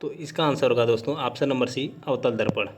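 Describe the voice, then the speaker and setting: A man's voice: mid-range voice, brisk, a little hiss or hum. A man teaching an exam-prep lesson, methodically reading out questions and then giving each answer.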